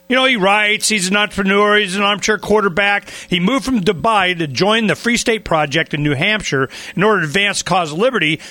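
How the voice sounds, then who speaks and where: A man hosting a talk show in a casual, excitable way, playfully firing quick questions at his guest and cutting in. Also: high voice, no echo. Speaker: radio talk show host. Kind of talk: casual interview chat.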